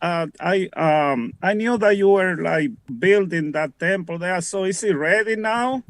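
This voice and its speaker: A man's voice: high-pitched, a little slow, no room sound. A man calling in to an online meeting by phone, chatting casually and hesitantly with repeated words. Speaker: participant calling in. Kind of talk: casual chat. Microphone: phone on a video call.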